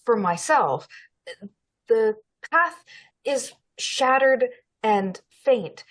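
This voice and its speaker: A woman speaking as a player in a tabletop roleplaying game, measured and earnest in character and quick to laugh out of it. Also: a little high, animated.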